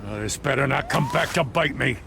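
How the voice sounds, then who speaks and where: An actor playing a wary, gruff and blunt police lieutenant in a video game drama. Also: male voice, mid-range voice, fairly quick, noisy background, natural voice. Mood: wary, tense. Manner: exasperated, blunt.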